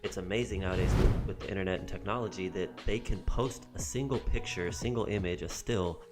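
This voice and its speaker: A man's voice: deep voice, fast talker, loud music behind the voice. A man co-hosting a podcast, talking casually and thinking aloud with frequent ums. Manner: thoughtful and rambling, with many ums. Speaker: podcast co-host. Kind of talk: casual chat.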